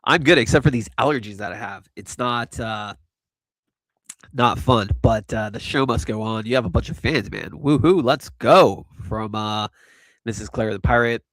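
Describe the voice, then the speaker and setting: A man's voice: medium pitch, normal speed, fairly bright tone, no room sound, poor audio. Laid-back man hosting a live chat. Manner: rambling, laid-back, filler-heavy. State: stuffy with allergies. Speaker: host. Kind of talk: casual live crypto chat.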